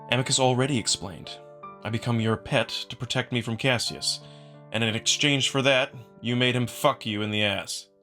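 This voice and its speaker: A man's voice: mid-range voice, normal speed, pushed and bright, soft background music. A man voicing a defiant, sarcastic human man in a visual novel, with blunt, curt delivery. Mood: bitter. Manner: blunt, curt, casual swearing. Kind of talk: visual novel dramatic reading.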